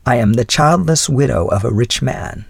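Storybook reading: a courteous, wealthy old widow, as a male reader puts her on, pitched higher than his narration, dry close sound. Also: expressive, normal speed, creaky, slightly rough, dry recording. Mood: earnest. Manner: earnest, formal and courteous. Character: gracious wealthy elderly widow woman.

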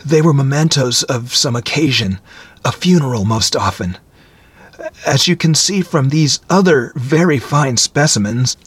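A man playing a courteous, knowing old antique dealer in story dialogue, with a mellow, diffident manner. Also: medium pitch, lively intonation, a little slow, slightly rough, no room sound. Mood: diffident, explaining. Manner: friendly, diffident, chuckling scholarly precision.

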